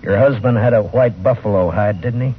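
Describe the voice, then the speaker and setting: An actor playing a tough, weary lawman in an old radio western, speaking gruffly and tersely. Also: fairly low pitch, brisk, slight background noise, natural voice. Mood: stern questioning. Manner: terse, hard-boiled. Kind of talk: old-time radio drama acting, with first-person narration. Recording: muffled old radio recording with music.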